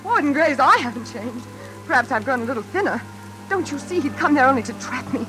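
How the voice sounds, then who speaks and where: A woman playing a nervous, desperate heroine in a 1940s radio suspense drama, halting and breathless. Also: high voice, expressive, over music, low-quality recording. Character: frightened, haunted single woman, nervous and desperate. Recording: old radio recording.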